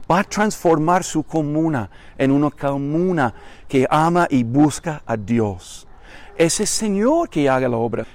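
A man's voice: mid-range voice, darkish tone. An older man, a pastor, with a Chilean Spanish accent mixed with Mexican, preaching emphatically to his congregation outdoors with rhetorical repetition. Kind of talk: recorded sermon greeting to a congregation. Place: outdoors on a vacant lot.

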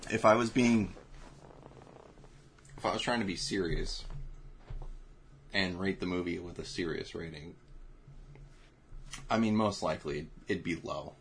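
Low-voiced man in profane casual chat. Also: unhurried, long pauses, fairly bright tone, a little hiss or hum. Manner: profane, laughing, reads reviews aloud. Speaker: podcast co-host. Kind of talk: casual movie review chat.

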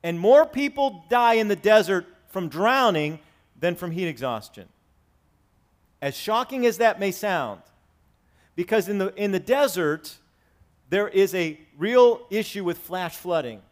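A man in his forties preaching a sermon to his congregation, swinging between raised, emphatic lines and quiet, conversational asides. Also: high voice, animated, unhurried. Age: 40s. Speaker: pastor. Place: church sanctuary with congregation.

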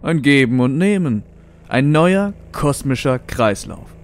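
A man's voice: medium pitch, lively intonation, slow pace, a little hiss or hum. A man playing a fanatical scientist who preaches a new cosmic order in a solemn, grandiose way for a horror audio drama. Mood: exalted.

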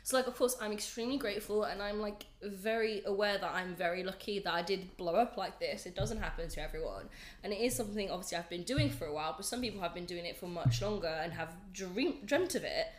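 A woman's voice: mid-range voice, quick pace, few pauses, roomy sound. A young woman in her twenties, chatting as a podcast guest, a bit congested from a flu, rambling and self-deprecating. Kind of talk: casual chat.

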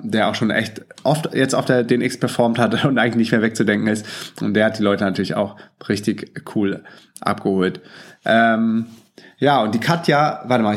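A man hosting a casual podcast monologue, enthusiastic and slangy, with frequent filler sounds and a lingering cold. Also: on the low side. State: has a cold. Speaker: podcast host. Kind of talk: casual podcast monologue, reading out listener comments.

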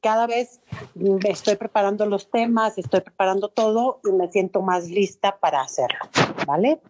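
A woman's voice: medium pitch, moderate pace, dark tone. A woman chatting eagerly and enthusiastically in a social audio room. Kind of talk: casual chat.

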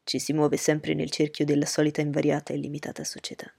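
Woman reading haughty aloof gentleman, cool and detached, low gravelly voice. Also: completely flat delivery, fast talker, exaggerated voice.

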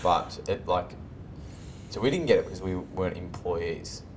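A man with an Australian accent hosting a casual chat in a laid-back, colloquial way. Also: moderate pace. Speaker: podcast host. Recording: noisy recording with low rumble.

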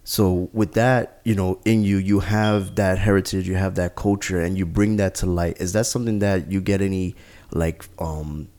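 A man with a very deep voice asks questions in an interview. He speaks slowly and carefully and keeps a thoughtful tone. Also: fairly even intonation, darkish tone. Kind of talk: casual interview.

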